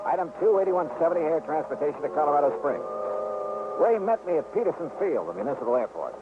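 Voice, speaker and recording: An American actor playing an easygoing, inquisitive insurance investigator in an old radio drama, brisk and conversational. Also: male voice, on the high side, very animated, loud music behind the voice. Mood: businesslike narration. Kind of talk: 1950s radio drama acting, with narrated expense account. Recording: noisy, phone-like old radio recording.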